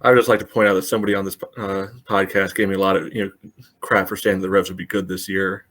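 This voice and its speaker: Dry, low-voiced male co-host. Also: little pitch movement, fast, a bit bright. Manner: dry, blunt, reads out statistics. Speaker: podcast co-host. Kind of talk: casual sports chat.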